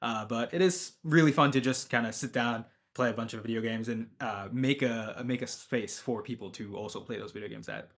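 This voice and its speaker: A man's voice: mid-range voice, a little fast, few pauses, fairly bright tone. A young man telling stories in a documentary interview, a laid-back tournament organizer.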